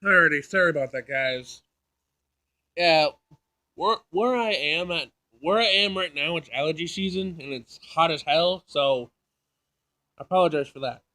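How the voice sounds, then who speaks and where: A man's voice: a little high, normal speed, bright, forceful tone. A man hosting a casual let's play, reading a visual novel aloud and reacting in informal, slangy speech. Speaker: gaming video host.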